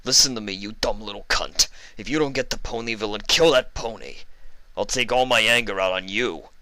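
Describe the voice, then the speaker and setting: A man's voice: fairly low pitch, fairly quick, sharp tone, dry recording, pitched above the performer's own voice. A man voicing an angry, abusive male crime boss, barking with menace.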